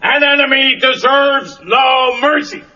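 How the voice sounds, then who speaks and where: An actor playing a merciless, contemptuous karate sensei with an American accent, commanding and sneering in film dialogue. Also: male voice, very high voice, slow, pushed and bright, full character voice. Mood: fierce. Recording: dull lo-fi cassette tape.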